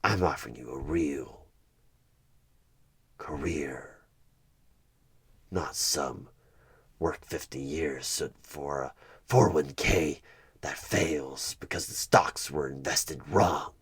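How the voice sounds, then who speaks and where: A man playing a sinister, manipulative demonic job interviewer in a horror ASMR roleplay, with a gravelly growl that breaks into breathy whispers and a menacing, deliberate delivery. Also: a bass voice, exaggerated voice. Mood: coldly dismissive. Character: sinister male demonic tempter offering a corrupt deal. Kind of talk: one-sided horror ASMR audio roleplay.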